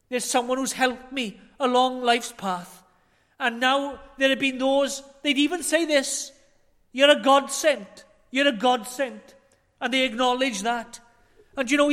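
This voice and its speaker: A man preaching a chapel sermon, earnest, with rhetorical questions and swells and drops in loudness. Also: extremely high pitch. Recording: long hall reverb.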